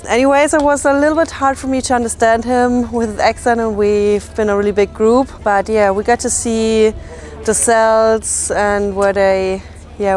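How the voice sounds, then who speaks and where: A woman's voice: a little high, a little mellow. A woman from Germany, talking casually to camera as a tour participant.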